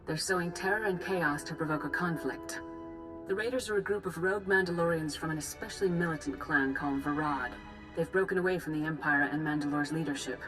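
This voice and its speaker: A woman playing a grave, composed Jedi master in a video game, giving formal briefings with measured authority. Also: sits low, over music, close to an everyday voice, low-quality recording. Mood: grave, urgent. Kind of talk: formal dramatic mission briefing.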